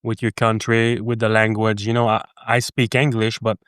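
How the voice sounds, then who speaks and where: A middle-aged French Canadian man, a native French speaker, talking earnestly in a casual interview, with halting speech and frequent restarts. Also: lowish voice, monotone, fairly bright tone, smooth, dry recording, studio quality. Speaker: podcast guest.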